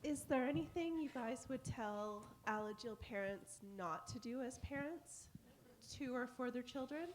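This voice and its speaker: A woman's voice: a little high, a little slow. A woman in an audience putting an informal question to a panel. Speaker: audience member asking a question.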